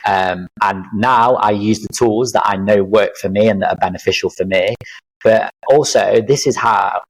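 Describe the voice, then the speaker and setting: A man in his thirties speaks in a low, deep register. He talks through his experiences in an earnest, reflective way, with plenty of fillers and restarts. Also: moderate pace, poor audio. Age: 30s. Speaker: podcast guest, life coach. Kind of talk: casual interview chat.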